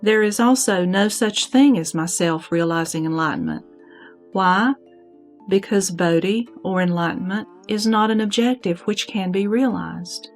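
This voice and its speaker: A woman playing a serene, wise heavenly goddess in a scripted sutra audio drama, teaching in a measured, declamatory way. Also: mid-range voice, lively intonation. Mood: calm, assured. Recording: background music under the voice.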